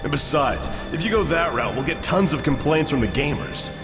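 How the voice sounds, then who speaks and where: A cool, flippant action-game executioner, with a dry menace and a lively swing in pitch. His lines ring in a long reverb over prominent music. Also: normal speed. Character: cocky, wisecracking male executioner, cool action hero. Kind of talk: video game hero voice acting. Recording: echoey reverb, music behind.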